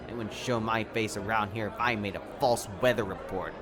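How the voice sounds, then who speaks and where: A man playing a gruff, boastful, hard-drinking pony in an audio drama, with a gravelly, sardonic delivery. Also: lowish voice, steady tone, brisk, natural voice. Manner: sardonic, swaggering. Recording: tavern crowd and music behind.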